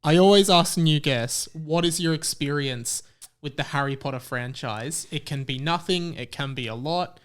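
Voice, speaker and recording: A man's voice: fairly high pitch, normal speed, clear, resonant tone. A man hosting a podcast in a casual, comedic chat, often breaking into laughter. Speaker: podcast host.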